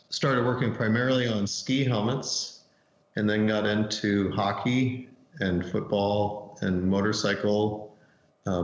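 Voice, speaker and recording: A middle-aged man speaking informally as an expert panelist, heard over a roomy, dull remote call. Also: fairly low pitch. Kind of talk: informal self-introduction on a panel.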